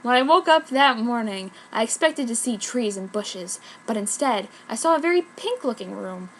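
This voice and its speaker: A woman's voice: fairly high pitch, expressive, moderate pace, a little hiss or hum, rough recording. A woman reading an amateur story aloud in a dramatic, comic narration style. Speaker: fanfiction reader.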